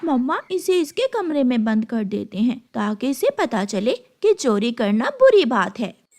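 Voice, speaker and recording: A woman's voice: fairly high pitch, sing-song, measured pace, darkish tone. A woman voicing a spiteful, whiny young stepsister bird who tattles to her mama in a children's cartoon story. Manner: tattling, calls to mama repeatedly.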